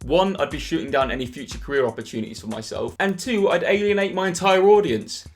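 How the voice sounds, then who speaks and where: A man's voice: on the high side, expressive, soft background music. A young man from England vlogging casually to camera as a football vlogger, candid and earnest. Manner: candid, earnest, quick-talking.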